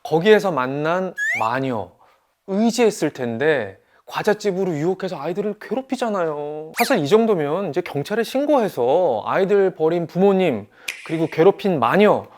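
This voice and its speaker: A man hosting an educational talk as an announcer, reacting in an expressive, reflective and sympathetic way. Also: a little high. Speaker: host, announcer. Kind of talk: presenting an educational talk about fairy tales. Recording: faint background noise.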